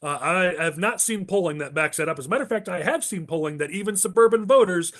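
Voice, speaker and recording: A male podcast host steers a political panel in a brisk, partisan way. His voice sits a little high and is wearing thin from talking. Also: animated, a bit bright. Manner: brisk, partisan, moves through agenda. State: losing his voice.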